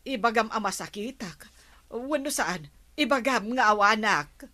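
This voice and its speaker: Slow, high-pitched whiny Filipino village woman. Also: lively intonation, sharp tone. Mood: whiny, embarrassed. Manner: whiny, plaintive, exclaiming. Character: vain, embarrassed village woman whining about her ruined face. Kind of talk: Ilocano radio comedy drama acting.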